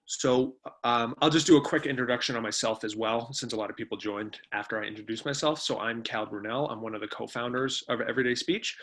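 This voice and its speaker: A man's voice: medium pitch, steady tone, brisk, few pauses, fairly bright tone. A man giving an informal online presentation, explaining things in a conversational way as the webinar's presenter. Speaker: webinar presenter, company co-founder. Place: remote webinar.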